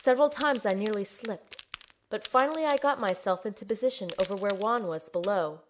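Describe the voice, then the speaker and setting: A woman's voice: medium pitch, brisk, slight background noise, natural voice. A woman playing an earnest, anxious young field operative, acting and narrating in the first person in an audio drama. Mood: tense narrating. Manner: earnest, urgent.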